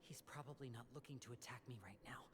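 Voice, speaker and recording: A woman's voice: extremely low pitch, fast talker, a crowd in the background. A woman voicing a defiant, protective young male magician in a video game, intense and accusing in delivery. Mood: tense, reasoning.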